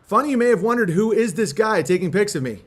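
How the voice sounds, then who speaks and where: High-pitched man, casual and slangy. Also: expressive, fast. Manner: laid-back slangy talk with frequent swearing. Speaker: host reading a fan letter aloud. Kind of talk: casual livestream chat with letter reading.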